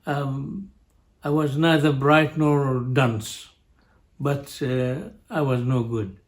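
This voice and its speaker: An older man with an Arabic accent recalls his school days at a mid pitch, slow and unhurried. He stops often, restarts his sentences, and chuckles along at his own story. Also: level tone. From Jordan. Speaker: family show guest, father. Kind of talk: storytelling, casual family chat.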